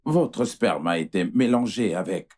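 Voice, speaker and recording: A man's voice: deep voice, lively intonation, a little slow, darkish tone. A man playing an apologetic fertility doctor, formal, hesitant and placating, in dubbed film dialogue. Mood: grave, hesitant.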